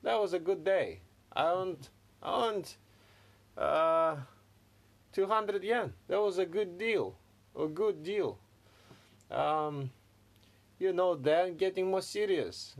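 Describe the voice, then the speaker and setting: Man doing a deadpan Russian tough guy, broken English, slightly higher voice. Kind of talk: improvised comedy banter.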